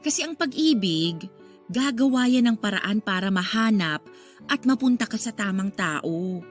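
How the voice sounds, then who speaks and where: An actress playing a caring, frank older sister in a radio drama, talking in an earnest, coaxing, conversational way. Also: female voice, mid-range voice, expressive, unhurried, faint music behind, lightly acted. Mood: earnest advising. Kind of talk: Filipino radio drama acting.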